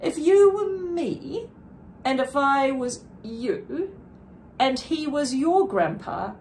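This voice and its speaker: Woman playing an earnest little girl, raised pitch. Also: animated, slow, darkish tone, a little hiss or hum. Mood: earnest, challenging. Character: young second-grade girl, earnest, determined, a little timid.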